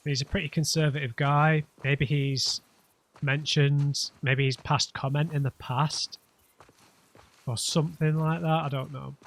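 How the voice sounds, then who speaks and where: A man commenting as he plays a game, a bit nasal and losing his voice from hay fever, musing aloud in a relaxed way. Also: fairly high pitch, a little slow, vocal fry. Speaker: let's play gamer. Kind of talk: gameplay commentary.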